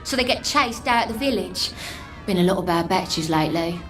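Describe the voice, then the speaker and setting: A woman's voice: lowish voice, expressive, a little fast, a bit bright, rough recording. An actress playing a scornful, streetwise homeless woman in a video game, with an English accent and a blunt, mocking manner. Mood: blunt, wry. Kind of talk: video game character acting.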